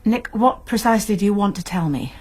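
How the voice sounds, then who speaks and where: An amateur sleuth in a radio play. She is composed and inquisitive, with a slightly husky voice at a medium pitch. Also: animated, fairly quick, darkish tone. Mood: probing. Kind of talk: radio drama acting.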